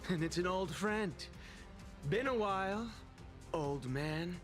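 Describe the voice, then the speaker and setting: Cocky young male game fighter, high voice, slow drawn-out lines, faint music. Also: expressive. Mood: teasing.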